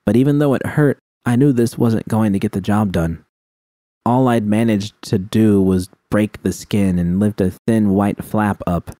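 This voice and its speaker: A man reads a first-person story in a quiet, somber, measured way. His voice sits low with a dark, mellow tone. The sound is dry and close, with no room around it. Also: no echo. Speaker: audiobook reader. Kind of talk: reading first-person fan fiction aloud.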